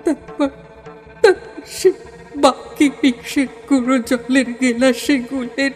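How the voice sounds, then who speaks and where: Woman acting a slow, high-voiced melancholy ghost woman. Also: fairly even intonation. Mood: haunted, intense. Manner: theatrical, lingering, slipping into hushed whispers. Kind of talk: Bengali audio horror drama, dramatic storytelling. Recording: music under the voice. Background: dramatic background music.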